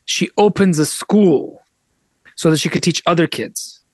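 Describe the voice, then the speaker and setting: A man's voice: a little high, unhurried, no room sound. Wry storytelling man, dry close sound. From United States. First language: Russian. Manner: wry, animated storyteller with frequent restarts. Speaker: guest author and translator. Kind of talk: conversational interview storytelling.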